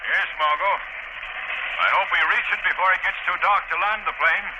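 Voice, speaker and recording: A man's voice: high voice, fairly quick, natural voice. A male actor playing a resolute, assured adventurer and pilot in a 1940s style radio drama. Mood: composed, determined. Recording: muffled, noisy old-time radio recording.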